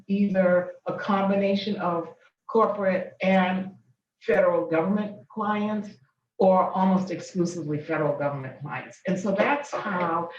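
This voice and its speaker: Older woman guest, measured and reflective, lo-fi muffled sound with faint hiss. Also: lowish voice. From Boston, Massachusetts. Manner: thoughtful, many ums and restarts. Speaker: guest, business founder. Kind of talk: interview, recounting her career. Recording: distant, dull, echoey room with faint noise.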